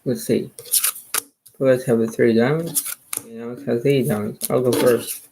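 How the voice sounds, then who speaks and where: A soft-spoken man, a little hoarse, hosting a card game and rambling in repeated filler phrases. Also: medium pitch, fairly even intonation, unhurried, background noise. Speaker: channel host playing cards. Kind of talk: casual rambling talk to camera.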